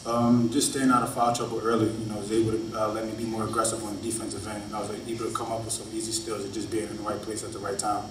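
Fast, even-toned young man, echoey room. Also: fairly low pitch. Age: early 20s. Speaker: college basketball player. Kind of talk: press conference answers. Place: press conference room, indoors. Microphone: table microphone on stand. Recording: echoey room, distant mic, clear noise.

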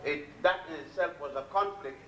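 An older man giving a public lecture from a podium, blunt and defiant, telling his story with long pauses. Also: on the high side, fairly bright tone, distant mic, slight background noise. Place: lecture hall at a podium before a chalkboard. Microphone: podium mic on a stand, filmed from a distance. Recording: echoey hall, recorded from afar.

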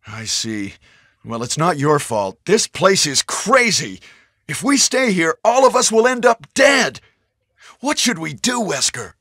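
A man's voice: sits high, sing-song, a little slow, sharp tone, full character voice. An actor playing a gallant, intense young male action hero in a stilted nineties video game. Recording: cavernous echo, long reverb.

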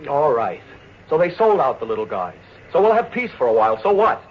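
An actor playing a brash, impulsive, cynical suitor with a blunt, clipped manner in a 1940s radio drama. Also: male voice, fairly high pitch, very animated, fairly quick. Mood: angry, impatient. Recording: dull, faintly noisy 1940s radio recording.

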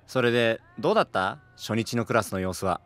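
Audio drama: easygoing male teacher, amused, bright tone, lively intonation. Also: fairly low pitch, brisk, a little hiss or hum.

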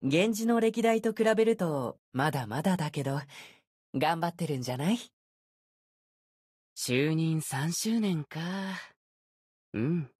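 A man's voice: fairly high pitch, lively intonation, a little slow, long pauses, a little mellow, breathy edge, no room sound, clean studio sound. A man voicing an easygoing, unfazed ancient sword spirit in youthful male form for a game, with languid, nonchalant phrasing. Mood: mildly encouraging. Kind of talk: Japanese game character voice acting.

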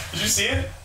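Young man, playful co-host, lively pitch, slow easy pace, distant mic in an echoey kitchen with music. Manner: joking, reads chat aloud, laughs often. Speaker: co-host, husband. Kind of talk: casual livestream chat. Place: home kitchen. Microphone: far room mic.

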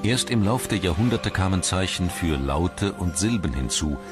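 A man reading scripted documentary narration in a measured, articulate storytelling manner. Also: low-pitched, lively intonation. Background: music.

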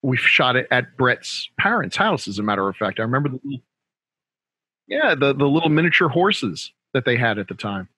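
A man's voice: medium pitch, very animated, normal speed, pushed and bright, breathy edge, no room sound. An older man co-hosting over a headset mic, enthusiastic and full of praise. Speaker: co-host. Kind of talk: casual interview chat.

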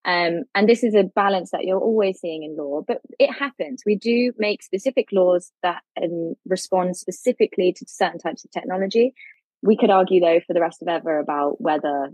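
A woman lawyer, explaining legal points carefully in a podcast interview, with a husky voice. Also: lowish voice, normal speed, darkish tone, no room sound, rough recording. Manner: articulate, explains carefully with fillers. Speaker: lawyer guest.

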